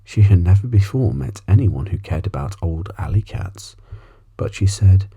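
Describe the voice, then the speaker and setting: A man softly reading a bedtime story as ASMR narration, gentle and unhurried, with a breathy, husky voice. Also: deep voice, expressive. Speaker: audiobook reader.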